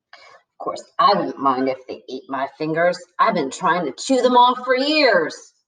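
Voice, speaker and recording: A woman's voice: on the low side, expressive, unhurried, fairly bright tone. A woman voicing a mad old hospital patient in a scratchy, whispery, conspiratorial voice, as in a read-aloud story. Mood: madly gleeful. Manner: conspiratorial, unhinged. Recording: roomy echo, distant from the mic.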